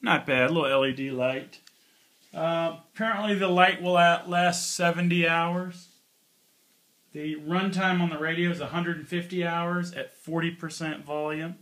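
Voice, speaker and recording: A man with a fairly high, bright and forceful voice talks through a piece of gear. He is informal and offhand, like a hobbyist reviewer showing how it works. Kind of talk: casual hands-on product review.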